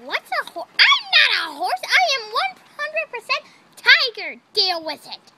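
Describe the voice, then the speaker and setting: A woman's voice: very high voice, wildly swooping pitch, unhurried, pushed and bright, dry recording, heavily acted. A girl voicing a boastful male toy tiger who insists he is all tiger. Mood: indignant. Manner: indignant, blustery.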